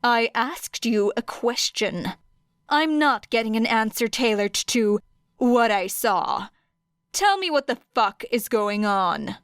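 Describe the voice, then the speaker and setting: A woman's voice: fairly high pitch, expressive, slow pace, clear, resonant tone, no room sound, clean studio sound. A woman voicing a stern, commanding female hero in an audiobook, with a harsh, authoritative manner. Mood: dangerous, demanding. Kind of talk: audiobook character acting.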